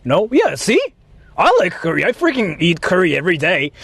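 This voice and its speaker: A man's voice: high voice, huge pitch swings, moderate pace, creaky, a bit bright, slightly rough, no echo. A man from Japan chatting casually with livestream viewers, rambling and repeating himself, with some swearing. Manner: rambling, repetitive, profane. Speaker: livestream host.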